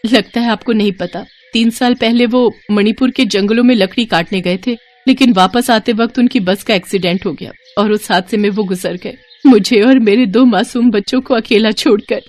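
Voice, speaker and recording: A woman's voice: mid-range voice, moderate pace, hardly any pauses, lightly acted. A woman voicing a meek, kind, sorrowful young widowed mother in a storybook tale, polite and plaintive.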